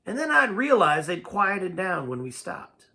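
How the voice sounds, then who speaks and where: Animated man reading a story aloud. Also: sits high, unhurried. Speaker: amateur audiobook reader. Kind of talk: animated storytelling read-aloud in first person.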